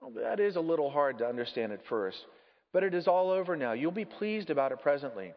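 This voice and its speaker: A man voicing a serene, mirthful redeemed spirit, gentle, patient and frank, in a dramatic storybook reading. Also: a little high, big pitch swings, fairly quick, voice pushed up. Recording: muffled, echoey large hall.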